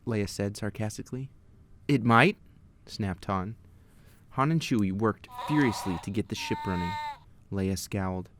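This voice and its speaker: A man reading scripted storybook narration as the storyteller, with clear noise behind the voice. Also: lowish voice, moderate pace. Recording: clear background noise, clicks.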